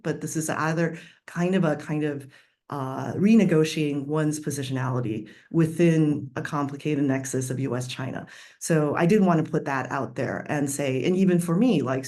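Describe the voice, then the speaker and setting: A woman's voice: low voice, darkish tone. A woman from Taiwan, a professor speaking on a webinar panel over a video call, thoughtful and working through long layered sentences with many fillers. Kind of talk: academic webinar discussion.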